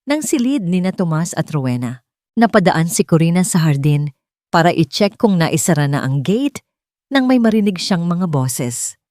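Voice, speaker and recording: A woman's voice: on the low side, very animated, normal speed, dark tone, dry recording, studio quality. A woman storyteller reading a scripted dramatic narration.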